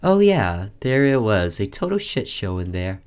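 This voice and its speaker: A man's voice: on the low side, sing-song, unhurried, a little mellow, smooth. A man doing let's play commentary, reading a visual novel aloud theatrically and breaking off for offhand asides.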